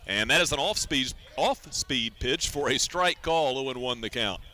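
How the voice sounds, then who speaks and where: An American man calling a baseball game as a radio play-by-play announcer, in a measured, descriptive way. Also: mid-range voice, expressive, bright, forceful tone. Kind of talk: live sports radio commentary.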